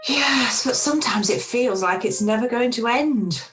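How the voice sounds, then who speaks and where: A woman playing a warm but self-doubting middle-aged restaurant owner in an amateur radio play, recorded over a video call. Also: a little high, normal speed, faint music behind, lightly acted. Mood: anxious.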